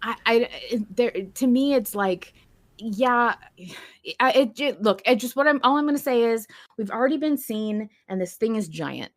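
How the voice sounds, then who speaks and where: A woman's voice: on the high side, animated, moderate pace, no echo. A woman hosting a podcast in a casual, conversational style. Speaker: podcast host.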